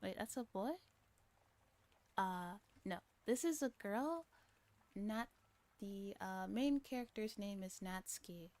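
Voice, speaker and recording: A woman reading a story aloud softly as a streamer, in a breathy ASMR style that slips into whispers. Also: mid-range voice, expressive, slow pace, long pauses. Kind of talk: soft-spoken ASMR narration with chat asides.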